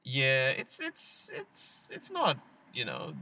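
A man's voice: mid-range voice, huge pitch swings, slow pace, sharp tone, distant mic. A man, co-hosting a podcast in a wry, laid-back way. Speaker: podcast co-host. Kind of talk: casual chat.

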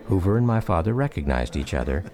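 A man narrating a personal radio drama in a reflective, measured storytelling style. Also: sits low, big pitch swings. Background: music.

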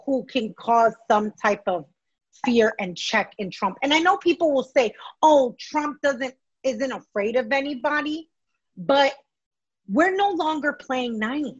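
Woman co-host, high and unhurried voice, animated, muffled call audio. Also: husky. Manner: animated, laughs easily. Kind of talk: casual chat. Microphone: video call.

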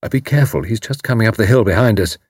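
A man's voice: on the low side, expressive, fast, a little mellow, slightly rough, no echo, clean studio sound. A man voicing a mild, agreeable Englishman in an audiobook, speaking politely and a little diffidently with an English accent. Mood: cautious. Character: mild, agreeable British man working undercover.

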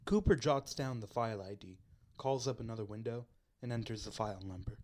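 A man's voice: mid-range voice, expressive, brisk, darkish tone, no room sound. A man reading scripted narration for a radio play.

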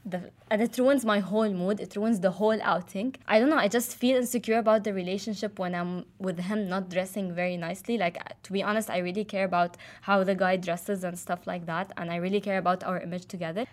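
A woman's voice: mid-range voice, fairly even intonation, a little fast, hardly any pauses. A young woman from Jordan, casually telling a personal story with many fillers and restarts. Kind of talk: casual chat.

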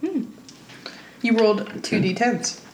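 A woman playing a tabletop game, chatty and excitable, thinking aloud as she goes. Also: on the high side, slow. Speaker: player. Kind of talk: casual tabletop game chat. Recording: echoey room, faint noise.

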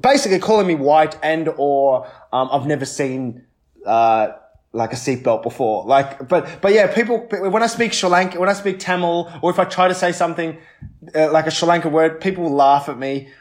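A man with an Australian accent telling personal stories in casual chat, in an animated, halting way that often restarts mid-thought. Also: fairly high pitch. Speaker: comedian.

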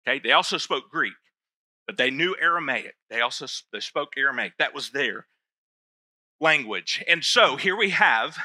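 A man's voice: on the high side, pushed and bright, dry recording. A middle-aged man preaching a sermon to his church, talking in a loose, conversational way with self-deprecating asides. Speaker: pastor.

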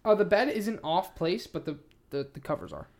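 A man's voice: high-pitched, lively intonation, normal speed. A man hosting a podcast in casual chat, swearing freely and rambling insistently as he repeats his points. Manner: profane. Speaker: podcast host.

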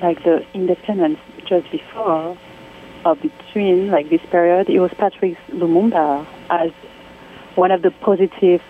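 A woman from Congo with a French accent, speaking as an artist guest on a phone interview, soft-spoken and halting in English, laughing often. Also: on the low side, fairly even intonation. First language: Swahili, Lingala and French. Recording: tinny phone line with faint noise.

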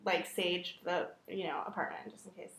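A woman's voice: fairly low pitch, steady tone, moderate pace, gravelly, a bit bright, slight background noise. A young woman hosting a podcast in casual chat, rambling with many fillers. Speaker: podcast host. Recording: roomy room echo.

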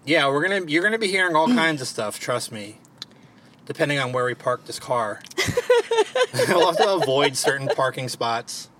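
A man's voice: medium pitch, animated, moderate pace, a bit bright, slight background noise. A man with a Baltimore accent hosting a casual podcast, joking and swearing freely. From Maryland. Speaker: podcast host. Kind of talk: casual chat. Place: parked car. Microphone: home podcast mic.

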